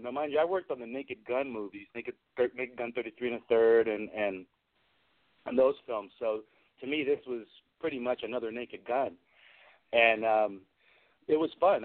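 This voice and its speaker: A man chatting casually as an interview guest, telling rambling, amused anecdotes about his work as a prop maker. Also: mid-range voice. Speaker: guest, movie special effects and prop maker. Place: remote call into a radio show. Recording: lo-fi, phone-like internet radio call.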